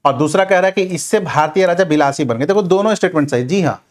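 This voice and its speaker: Exam coach: male, slightly high-pitched, instructive lecture delivery, posing questions and answering them, with frequent reminders. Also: fast talker. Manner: instructive, repeats check phrases like a classroom teacher.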